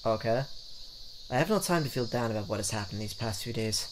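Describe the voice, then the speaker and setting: A man's voice: on the low side, animated, brisk, background noise. A man hosting a let's play, reading game text aloud in a theatrical way with casual reactions. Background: game music.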